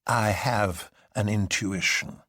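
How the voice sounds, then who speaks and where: A man voicing an excitable young poet in a story, improvising with nervous, hesitant bravado. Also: lowish voice, slow, no room sound, clean studio sound. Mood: insistent. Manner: hesitant, improvised delivery with ums and repeats.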